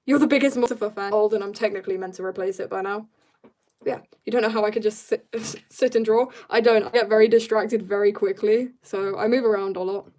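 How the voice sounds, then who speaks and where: A woman chatting on a casual drawing livestream, reading out viewer comments, answering them and trailing off into quiet mumbles to herself as she works. She says she is tired. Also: mid-range voice, steady tone, fairly quick.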